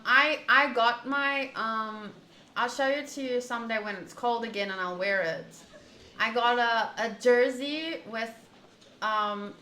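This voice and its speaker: A woman's voice: on the high side, bright, forceful tone, reverberant. A woman streamer giving casual, chatty playthrough commentary, reading out lines and adding playful asides. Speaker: game streamer.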